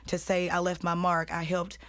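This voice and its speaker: A woman's voice: lowish voice, monotone, moderate pace, fairly bright tone, no echo. An American woman in casual podcast conversation, speaking slangily and with self-assurance as a guest. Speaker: guest artist.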